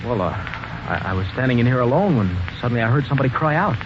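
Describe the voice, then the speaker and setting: An actor playing a nervous, defensive former employee under suspicion, stammering and agitated in 1940s radio mystery style. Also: male voice, medium pitch, expressive, fast, noisy background, natural voice. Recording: dull 1940s radio recording with music.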